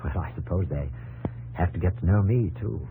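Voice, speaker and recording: An actor playing a confident but guarded, homesick young English engineer with polite, clipped answers in a 1950s radio drama. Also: male voice, deep voice, moderate pace, narrow, tinny audio. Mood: reserved. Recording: 1950s radio, faint noise and hum.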